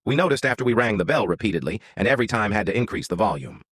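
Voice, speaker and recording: A man's voice: lowish voice, big pitch swings, very fast, a bit bright, husky, dry recording, clean studio sound. A man reading out an online story as a scripted narration.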